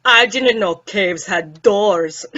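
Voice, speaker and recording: A woman's voice: mid-range voice, expressive, very slow, fairly bright tone, full character voice. A woman acting a grumpy, sarcastic old male professor in an old-time radio comedy. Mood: mocking. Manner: sardonic, teasing insults. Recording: muffled band-limited audio.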